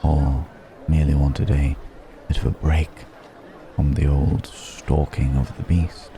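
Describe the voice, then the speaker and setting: A man playing a suave, probing dragon posing as a curious stranger, musing and gently mocking in an intimate ASMR roleplay. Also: a bass voice, little pitch movement, noisy background. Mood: wry, teasing. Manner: deliberate, musing, gently mocking, long pauses. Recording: background music and crowd murmur.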